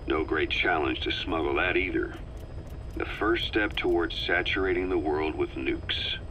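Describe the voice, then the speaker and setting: Game role: cynical military intelligence man, very low, sharp-edged, clipped, faint noise behind. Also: unhurried, highs cut off. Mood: grim. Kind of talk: video game dramatic dialogue.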